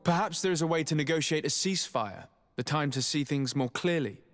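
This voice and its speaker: An actor playing an earnest young nobleman emissary in a video game, speaking in a measured, formal way. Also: fairly high pitch, a bit bright, faint music behind, natural voice. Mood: composed. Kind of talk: video game cutscene dialogue.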